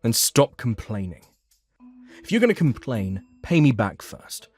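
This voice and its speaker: A man's voice: mid-range voice, big pitch swings, a little slow, faint music behind. A man playing a hardworking, put-upon eldest brother in a manga story, blunt and indignant. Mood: fed up, indignant.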